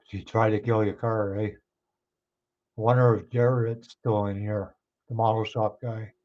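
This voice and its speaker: Male livestream host, low voice gone a bit hoarse, chatty with his viewers, dull lo-fi stream audio. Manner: chatty, reads and answers viewer comments. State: hoarse, clearing his throat. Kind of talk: casual chat with live viewers.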